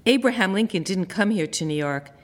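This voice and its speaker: Lady reading a gentle patient papa from a storybook, calm. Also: mid-range voice, brisk, natural voice.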